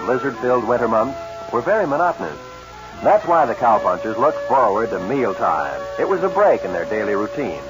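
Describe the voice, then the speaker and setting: A man reading a scripted 1940s radio advertisement with earnest, persuasive salesmanship, on a dull vintage recording. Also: mid-range voice. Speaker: commercial announcer. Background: music.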